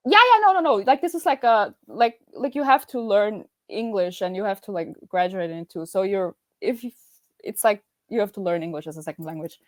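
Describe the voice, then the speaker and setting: Austrian woman guest, lively and high, quick to laugh, dry video-call sound. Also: dry recording. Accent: Austrian German. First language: German. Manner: bubbly, laughs often, many restarts and fillers. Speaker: podcast guest. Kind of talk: casual podcast chat over video call.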